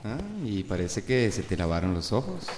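Deep-voiced, hesitant suitor in echo. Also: male voice, expressive, normal speed. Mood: tender, teasing. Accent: Central American. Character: shy, smitten, stubborn man courting a schoolteacher. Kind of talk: radio drama acting. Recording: roomy echo, some background noise.

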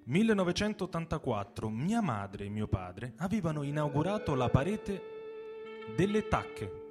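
A man giving a measured, solemn dramatic reading of a literary text, with pauses between phrases. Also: on the high side, very animated. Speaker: reader in a radio reading performance. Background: plucked guitar music.

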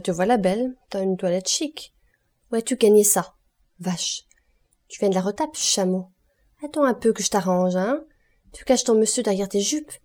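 A woman reading aloud and voicing a delirious, shouting male roofer with a hoarse voice who curses and raves. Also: mid-range voice. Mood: abusive. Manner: raving, shouting, cursing.

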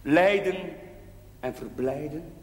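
A solemn village priest preaches from the pulpit in a high voice. He speaks slowly and rhetorically, weighing each phrase, as in a period television drama. The sound rings through a long, echoing hall. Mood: solemn, reflective. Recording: echoey church-like hall with hiss.

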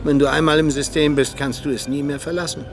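An actor playing a cynical, disillusioned Soviet agent and former mentor in a radio drama, confessing in weighty, deliberate phrases. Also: male voice, a little high, level tone, music in the background, lightly acted. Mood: weary, resigned. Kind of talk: German radio drama acting.

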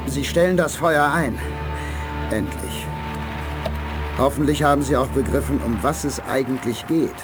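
An actor playing a hearty, blunt astronaut sidekick in a 1980s German radio drama. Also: male voice, medium pitch, unhurried, pauses often, lightly acted. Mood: excited. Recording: radio play over loud music.

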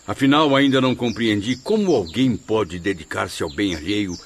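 A doubting, earnest man in a radio drama. His lowish voice swings expressively through formal, skeptical speech, with faint birdsong behind. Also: animated, moderate pace, slight background noise. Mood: doubtful, questioning. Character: doubting, earnest male newcomer to the spirit colony.

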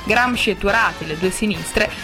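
A woman's voice: mid-range voice, normal speed, low-quality recording. A woman working as a radio presenter, reading a scripted introduction to a programme. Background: music.

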